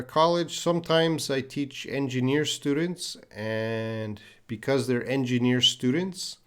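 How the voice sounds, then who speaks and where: Slow, patient Canadian English teacher. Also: male voice, medium pitch. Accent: light Canadian. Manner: patient, slow and clear, repeats words for learners. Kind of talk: live-stream English lesson.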